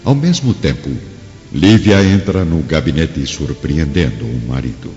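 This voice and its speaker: Male narrator, Brazilian Portuguese, extremely low, sweeping intonation, solemn, declamatory, muffled reverberant recording over clear music. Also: expressive, measured pace, a little mellow. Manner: grave, dramatic, declamatory. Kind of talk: solemn scripted radio drama narration. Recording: dull lo-fi, long reverb, music behind.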